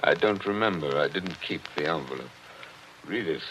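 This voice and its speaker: A man's voice: extremely low pitch, lively intonation, normal speed, fairly bright tone, slight background noise. An actor playing a brooding, nostalgic man in a wistful, theatrical 1940s radio drama style. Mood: guarded. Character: Joe Parker, brooding nostalgic man haunted by old memories. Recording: dull vintage radio recording with music.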